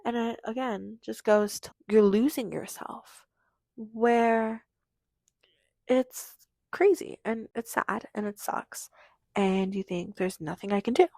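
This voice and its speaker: A teenage girl hosting her own podcast, chatting in a rambling, confessional way with lots of fillers, her voice hoarse from losing it. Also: a little high, slow pace, mellow, dry recording. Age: teenager in high school. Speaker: podcast host. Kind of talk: casual confessional monologue.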